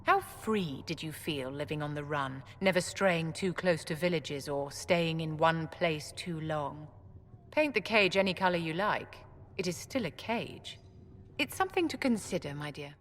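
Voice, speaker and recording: An actress playing a haughty, elegant court mage in a video game, measured and condescending. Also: female voice, deep voice. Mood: pointed, superior. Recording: reverberant hall, faint background noise.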